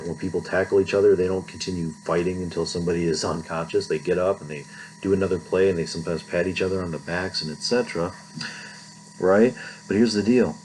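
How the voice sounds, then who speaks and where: Middle-aged man, deep register, conversational lecturer, frequent check-in tags, faint background noise. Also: a little mellow, low-quality recording. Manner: conversational, often checking with "right?". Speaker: philosophy lecturer. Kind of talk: informal recorded lecture.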